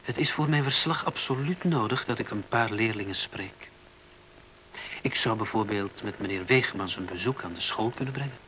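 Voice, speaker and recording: An actor playing a sceptical investigator who questions persistently and in measured words, in a Dutch radio play. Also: male voice, fairly low pitch, a bit bright, lightly acted, low-quality recording. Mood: firm. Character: probing, sceptical male investigator writing a report. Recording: faint hiss, old radio recording.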